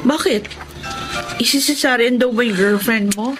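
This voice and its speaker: A woman acting a fussing, high-voiced woman. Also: normal speed, music in the background, natural voice. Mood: worried. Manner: exclaiming, questioning. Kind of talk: Tagalog radio drama acting.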